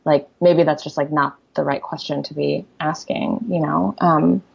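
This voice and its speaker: A woman's voice: low voice, fairly even intonation, moderate pace, gravelly, slightly rough, muffled recording. A poet talking casually in an interview, her first language Korean, chatty and full of "like" fillers and restarts. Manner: chatty, many "like" fillers, restarts, laughs easily. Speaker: poet, guest. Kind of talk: casual conversation.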